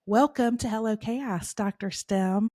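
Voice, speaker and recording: A woman's voice: a little high, warm tone. A woman hosting a podcast, opening with a scripted intro and then chatting with an upbeat, encouraging manner. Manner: upbeat and encouraging, quick laughs. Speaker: podcast host.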